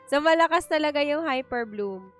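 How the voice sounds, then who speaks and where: A woman's voice: high voice, a bit bright. A woman with a Filipino accent chatting casually as she streams a game, informal and thinking aloud. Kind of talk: casual livestream chat and game commentary. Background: faint game music.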